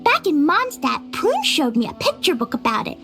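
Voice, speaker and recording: A woman voicing an excitable, eager little girl adventurer in a video game, with music behind her. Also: high voice, moderate pace, exaggerated voice. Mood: cheerful, explaining. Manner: eager, childlike.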